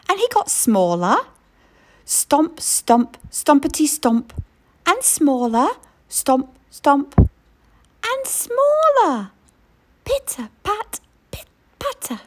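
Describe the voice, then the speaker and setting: Slow, lively woman reading a storybook. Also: high-pitched. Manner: expressive storytelling, slow and lilting. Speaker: picture book reader. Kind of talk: reading a picture book aloud.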